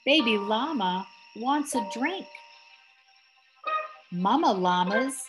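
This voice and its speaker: A middle-aged to older woman reading a children's picture book aloud as a grandmother, expressively, with drawn-out emphasis on key words. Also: fairly high pitch, lively intonation. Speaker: grandmother reading a bedtime story. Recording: music playing behind the voice. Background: music.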